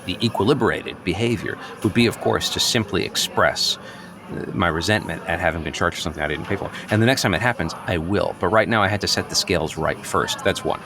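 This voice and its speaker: A very low-pitched, neurotic male office worker over clearly audible urban noise. He is wordy and hesitant, defending himself pedantically point by point in an audio comedy scene. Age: late 30s. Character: neurotic, agitated office worker newly rejecting social norms. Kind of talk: improvised audio comedy dialogue.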